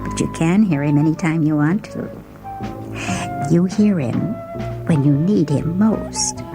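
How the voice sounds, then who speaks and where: A woman's voice: low voice, a little slow, over music. A woman voicing a huge, resigned female inmate, blunt and knowing, in a dramatic story reading. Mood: soothing.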